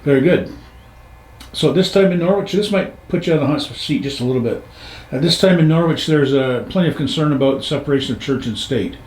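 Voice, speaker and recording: A man's voice: fairly high pitch, fairly quick, a little mellow. A man hosting an informal interview, with a folksy, easygoing way of phrasing questions. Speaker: interviewer, show host. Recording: echoey room.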